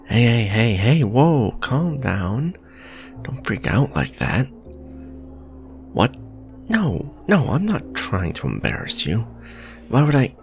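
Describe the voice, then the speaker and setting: A man's voice: mid-range voice, wildly swooping pitch, takes it slowly, gravelly, pauses often, faint music behind, heavily acted. A man playing an awkward, blunt supervillain in a romantic ASMR roleplay, speaking in a hesitant, earnest, conversational way. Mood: flustered, soothing. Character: male supervillain, awkward, blunt, businesslike on a blind date. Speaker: voice actor.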